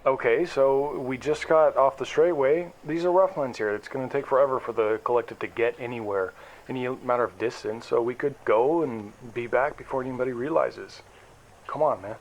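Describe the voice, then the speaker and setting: A man playing a restless, frustrated explorer in an audio drama, speaking earnestly in halting, repeated phrases. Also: mid-range voice, close to an everyday voice, low-quality recording. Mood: frustrated, pleading. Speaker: narrator and lead character. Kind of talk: audio drama acting, conversational monologue and dialogue. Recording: music behind the voice.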